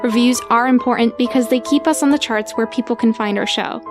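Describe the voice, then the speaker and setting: A woman's voice: on the high side, steady tone, a little fast, over music. A woman podcast announcer reading scripted credits and listener appeals.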